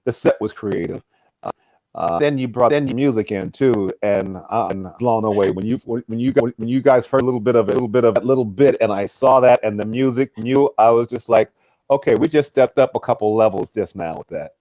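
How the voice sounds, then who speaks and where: A man's voice: mid-range voice, a little fast, warm tone, dry recording, poor audio. A man giving unscripted remarks as an actor on a live Q&A panel, effusive and often stammering over repeated starts.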